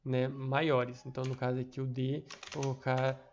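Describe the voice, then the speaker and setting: Halting young man with a gravelly voice. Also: medium pitch, completely flat delivery, a little mellow, a little hiss or hum. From Brazil. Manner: halting, many fillers and restarts. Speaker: tutor. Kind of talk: screen-recorded programming lesson. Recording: dull lo-fi mic.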